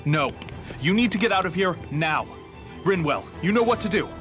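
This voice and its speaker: An actor playing a protective, urgent resistance fighter who barks out orders in a video game. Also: male voice, high voice, lively intonation, normal speed, a bit bright. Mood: urgent, commanding. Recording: video game music behind.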